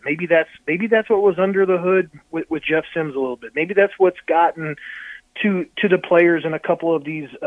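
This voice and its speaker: A man near fifty chats about sports as a radio call-in guest. His voice sits a bit high and comes through a thin, dry phone line. He rambles, circling back and repeating phrases as he builds a point. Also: dry recording. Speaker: radio guest, college football reporter. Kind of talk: casual sports talk.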